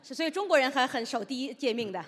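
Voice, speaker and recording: An interpreter rendering a sermon phrase by phrase, quick with fillers and self-corrections. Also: high-pitched, lively intonation, a bit bright, a little hiss or hum. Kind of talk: consecutive interpretation. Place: church hall. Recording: church hall reverb.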